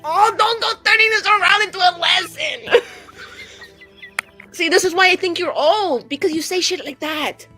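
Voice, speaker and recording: A woman's voice: very high-pitched, normal speed, bright, forceful tone, faint music behind, pitched above the performer's own voice. A woman voicing a brash, foul-mouthed young female mage of twenty-two in a rambling, dramatic tabletop roleplay. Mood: petulant. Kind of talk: improvised in-character roleplay.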